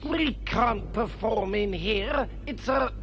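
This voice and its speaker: A woman's voice: on the high side, takes it slowly, vocal fry, slight background noise. A woman voicing a whiny, doubtful young android minion of a villainess in a puppet sci-fi series. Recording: music behind the dialogue.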